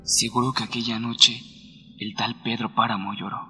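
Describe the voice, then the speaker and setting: An actor playing an inquisitive traveler questioning a storyteller in a radio drama. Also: male voice, medium pitch, little pitch movement, moderate pace, over music, close to an everyday voice. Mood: curious.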